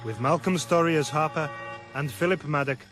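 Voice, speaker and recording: A man's voice: fairly high pitch, a little fast. Male radio announcer over music. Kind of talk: scripted play title announcement. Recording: music underneath.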